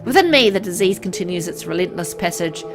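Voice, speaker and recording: A woman's voice: fairly low pitch, expressive, brisk, music in the background. A woman reading dramatic first-person visual novel narration aloud in a brooding, theatrical way.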